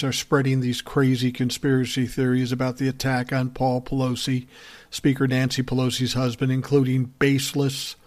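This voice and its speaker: A man's voice: mid-range voice, darkish tone. A man hosting a political podcast, giving blunt, profane opinion commentary and answering listener messages in a conversational way.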